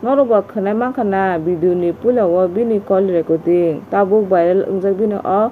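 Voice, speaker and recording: A woman's voice: mid-range voice, normal speed. A woman reading scripted narration in a news-report style. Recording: tinny narrow-band audio, faint noise.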